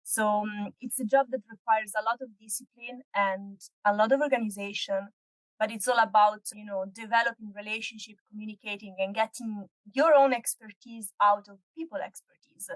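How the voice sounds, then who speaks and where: A woman, a podcast guest explaining her work in a measured way. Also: mid-range voice, steady tone, no room sound, low-quality recording. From northern Italy. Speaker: guest, executive search consultant. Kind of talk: casual interview chat.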